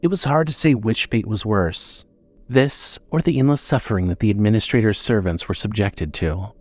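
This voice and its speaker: Male narrator for horror audio fiction, lowish register, measured and dramatic. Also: no echo.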